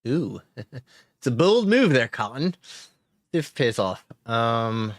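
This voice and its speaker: A man's voice: mid-range voice, very animated, takes it slowly, dry recording. Male streamer, casual play-by-play, dry close sound, talks himself through each turn. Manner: thinks aloud, chuckles, cheers. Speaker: streamer playing a card game. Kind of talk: casual gameplay commentary.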